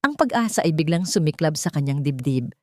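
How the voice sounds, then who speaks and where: A woman's voice: sits low, animated, brisk, a little mellow, no echo, clean studio sound. A woman reading a scripted dramatic story as its narrator.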